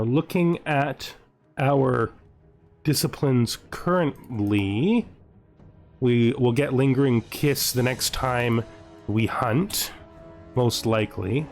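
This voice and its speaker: A man giving casual let's-play commentary, thinking aloud and muttering short asides to himself as he plays. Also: medium pitch. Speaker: gamer narrating his own playthrough.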